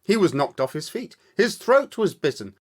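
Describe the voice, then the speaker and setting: A man reading a story aloud as scripted narration, with measured, deliberate, dramatic phrasing. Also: high-pitched, sing-song. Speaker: audiobook storyteller, actor.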